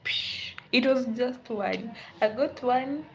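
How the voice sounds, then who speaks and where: A tired, unwell woman in a casual live stream, greeting viewers and chatting softly with frequent laughs, sometimes dropping to a whisper. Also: on the high side, slow. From Kenya. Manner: soft-spoken, laughs often, drifts into whispers. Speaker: livestreamer.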